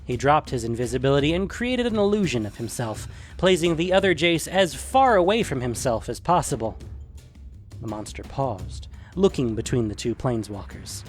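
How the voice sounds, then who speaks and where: A man's voice: medium pitch, big pitch swings, moderate pace, soft background music. A man narrating a scripted fantasy adventure story.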